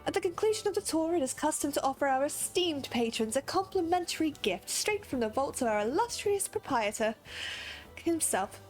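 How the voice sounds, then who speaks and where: Courteous attendant voiced by a woman, ceremonious and polite, lifted pitch. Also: animated, moderate pace, faint music behind. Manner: polite, ceremonious read-aloud. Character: courteous, formal attendant greeting guests.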